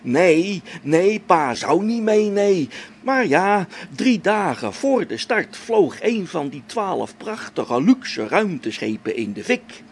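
A man's voice: high-pitched, very animated, slow pace, slight background noise, exaggerated voice. An actor playing a grumbling old-school test pilot and father talking to himself in a spaceship radio drama. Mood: explaining wryly. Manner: muttering to himself, grumbling, chuckling.

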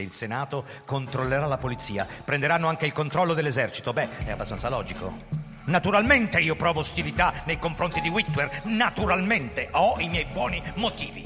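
An actor playing a paranoid, bitter middle-aged police chief in an intense, sarcastic radio drama style. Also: male voice, mid-range voice, natural voice. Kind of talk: theatrical radio drama acting with first-person narration. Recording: reverberant hall, music playing behind.

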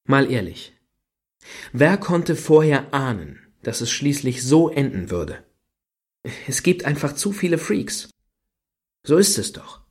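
A man's voice: mid-range voice, sing-song, slow, long pauses, darkish tone. An actor playing a dry, wryly judgmental man in a satirical radio play. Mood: indignant.

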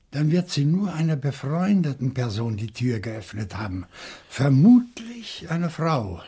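Husky, probing male interrogator. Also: medium pitch, darkish tone. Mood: insistent, confiding. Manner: deliberate, insistent, questioning. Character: calm, probing man questioning a suspect, Monsieur Fougère. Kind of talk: German radio crime drama acting.

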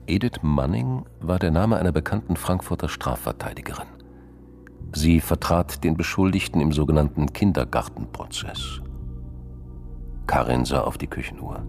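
A male narrator tells a crime story for a radio play. His voice is extremely deep, with a gravelly creak through the scripted lines. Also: background noise.

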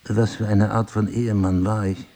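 An actor playing a middle-aged amnesiac husband in an audio drama, husky and gravelly, probing and dryly self-mocking. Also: male voice, lowish voice, flat delivery, moderate pace, dark tone, lightly acted. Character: amnesiac husband, a crime writer, searching and wryly ironic. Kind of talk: intimate audio drama dialogue.

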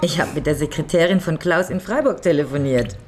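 A woman's voice: low-pitched, fairly quick, a little mellow, natural voice. An actress playing a careful, methodical middle-aged woman who explains things drily, in a German radio crime drama. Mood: measured, explanatory. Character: careful, methodical lawyer woman, amateur sleuth friend.

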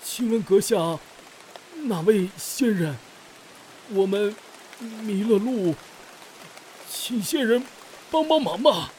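High-pitched anxious villager. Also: male voice, lively intonation, takes it slowly, long pauses, a little mellow, a little hiss or hum. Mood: pleading. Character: deferential, anxious male mountain villager, lost and pleading. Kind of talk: Chinese fantasy audio drama acting.

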